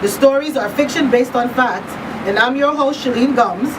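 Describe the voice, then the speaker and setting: Chatty higher-voiced woman host, noisy recording. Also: moderate pace. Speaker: talk show host. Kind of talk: casual live talk show chat. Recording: noisy, slightly distant mic.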